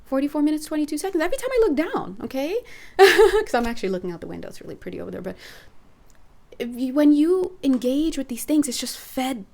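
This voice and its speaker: A hoarse woman giving a rambling, earnest spiritual talk, putting direct questions to her listeners. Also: high-pitched, big pitch swings, fast, darkish tone. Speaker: spiritual reader. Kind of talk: casual spiritual talk to camera.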